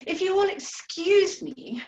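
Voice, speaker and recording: A woman's voice: very high voice, expressive, takes it slowly, highs cut off, exaggerated voice. A woman playing a haughty, snappish character with a clipped manner in a comedy radio play.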